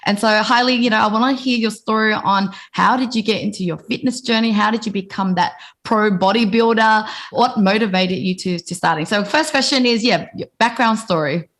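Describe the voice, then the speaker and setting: A woman's voice: medium pitch, brisk, hardly any pauses, fairly bright tone. A woman hosting an interview show, introducing her guest and asking loose, rambling questions. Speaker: podcast host.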